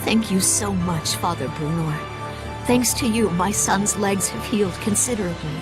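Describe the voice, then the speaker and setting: A woman's voice: mid-range voice, moderate pace, lightly acted. An actress playing a devout, anxious townswoman and mother, earnest, polite and formal, in a fantasy game scene. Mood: grateful. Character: devout, anxious mother pleading with her priest. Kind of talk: dubbed fantasy game cutscene acting. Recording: orchestral music behind the voice.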